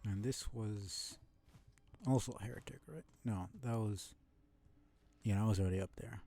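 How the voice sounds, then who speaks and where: Low, gravelly man casually commentating gameplay. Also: measured pace, dark tone. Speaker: livestreamer playing a video game.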